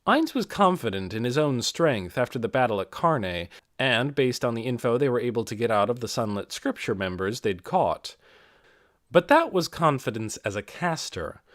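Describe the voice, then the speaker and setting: A man's voice: mid-range voice, sing-song, normal speed, a bit bright, no echo, clean studio sound. A man reading scripted fantasy narration as an audiobook narrator.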